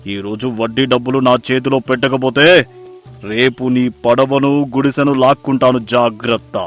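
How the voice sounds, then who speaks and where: A man's voice: mid-range voice, steady tone, normal speed, natural voice. Sneering village moneylender, acted by a man, music behind. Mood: threatening, contemptuous. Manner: sneering, threatening, insulting. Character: arrogant, cruel village moneylender man, bullying and mocking. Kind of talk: dramatised moral story acting.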